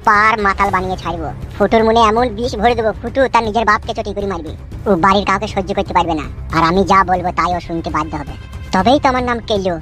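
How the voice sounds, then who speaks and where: Man acting a scheming, fast-talking village boss. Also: sits high, bright, forceful tone, soft background music. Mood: gloating. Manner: boastful, conspiratorial. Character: scheming, boastful male village gang boss. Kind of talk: cartoon comedy dialogue.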